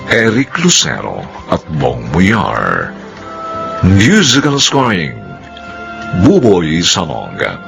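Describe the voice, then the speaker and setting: A Filipino man delivers solemn radio drama narration over prominent music. His low voice is slow and gravelly, swinging dramatically up and down. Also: huge pitch swings. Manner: solemn, declamatory.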